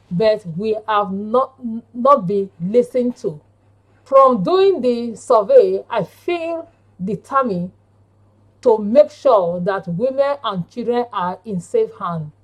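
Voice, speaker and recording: A woman reading a prepared campaign speech aloud in an earnest, deliberate way, with halting phrasing. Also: on the high side, rough recording. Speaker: panel speaker and member of a women's campaign group.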